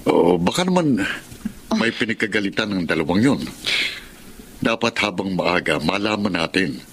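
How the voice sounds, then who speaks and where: A man's voice: deep voice, very animated, normal speed, fairly bright tone, exaggerated voice. A middle-aged man acting a guilt-ridden father in a radio drama. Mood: concerned. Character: guilt-ridden father working for a crime boss.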